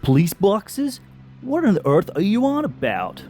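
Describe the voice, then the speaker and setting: A man's voice: high-pitched, huge pitch swings, a little slow, a little mellow, faint music behind, heavily acted. A man playing an alien villain who poses as a brusque American police detective in an audio drama, smug and theatrical. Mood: exasperated.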